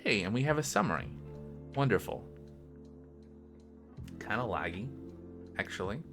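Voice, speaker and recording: A man giving casual think-aloud commentary as a game developer on a coding stream, halting and muttering to himself as he works. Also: lowish voice, lively intonation, music in the background.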